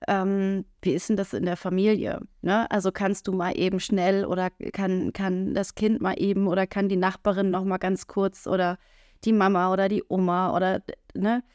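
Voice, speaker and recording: A woman's voice: medium pitch, level tone, normal speed, darkish tone, clean tone, dry recording, clean studio sound. A woman co-hosting a casual talk podcast who speaks frankly and earthily, with plenty of filler sounds. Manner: frank and earthy, many "ähm" fillers, acts out quoted lines. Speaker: podcast co-host.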